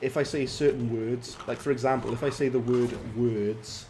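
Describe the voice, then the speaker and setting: A man's voice: mid-range voice, fairly even intonation, normal speed, noisy background. A man with a Welsh accent giving chatty, laughing gameplay commentary as he talks to his viewers. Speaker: gaming streamer. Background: game music and sound effects.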